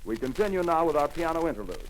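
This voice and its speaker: A formal 1930s studio announcer. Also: male voice, on the high side, very animated, fast talker, faint music behind, poor audio. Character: calm adult male studio radio announcer. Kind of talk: 1930s radio drama acting. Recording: hissy 1930s radio broadcast.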